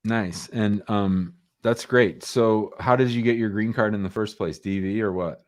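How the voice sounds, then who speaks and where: A man's voice: fairly low pitch, a little slow. A man hosting a live call-in advice show, chatting casually and giving short, informal, reassuring answers. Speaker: host answering immigration law questions.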